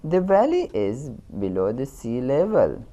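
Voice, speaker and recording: Low-pitched Pakistani woman teaching, very animated intonation. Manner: instructional, deliberate, repeats key terms. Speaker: English grammar teacher. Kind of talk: scripted lesson narration with examples.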